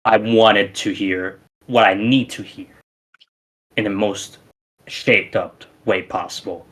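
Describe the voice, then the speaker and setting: A man's voice: lowish voice, fairly even intonation, slow pace, a bit bright, rough recording. A man with a Romanian accent, a musician guest on a casual podcast, talking in an emphatic, rambling way with many restarts.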